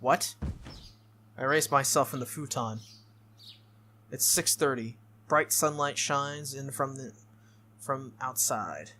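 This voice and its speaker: Bright-voiced man reading game narration. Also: medium pitch, takes it slowly, pauses often, slight background noise. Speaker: let's-play host. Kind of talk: reading visual novel narration aloud. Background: faint game music.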